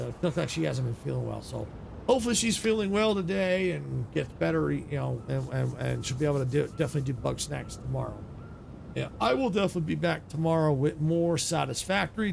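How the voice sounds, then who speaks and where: Guy streamer winding down, super gravelly rough voice kinda high, rambly, slight hiss behind. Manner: rambling, many restarts and fillers. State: voice getting raspy. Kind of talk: casual stream sign-off chat.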